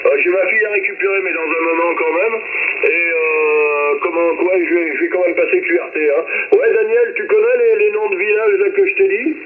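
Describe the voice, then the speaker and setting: A man's voice: sits high, fairly even intonation, a little fast. A middle-aged man chatting casually and hesitantly over a two-way radio as an amateur operator. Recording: tinny, noisy two-way radio transmission.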